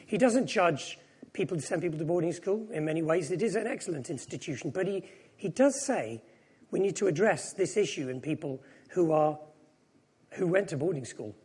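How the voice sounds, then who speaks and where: A man's voice: sits high, a little mellow, slightly rough. A middle-aged man, born in Japan, giving a reflective, self-deprecating personal sermon. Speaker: preacher.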